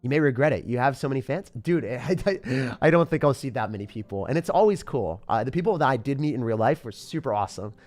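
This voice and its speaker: A young man from the Boston area streaming a game live, chatting with viewers, excitable and laughing often. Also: medium pitch, quick pace, hardly any pauses. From Boston area, United States. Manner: excitable, laughs often, reads chat questions aloud. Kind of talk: live-stream gameplay commentary.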